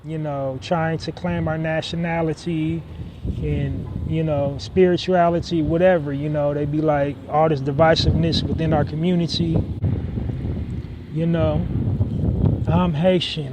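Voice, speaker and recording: A man's voice: fairly high pitch, little pitch movement, measured pace, background noise. Rambling man podcasting outdoors. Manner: rambling, many fillers and restarts. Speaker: podcast host. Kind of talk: casual solo podcast monologue.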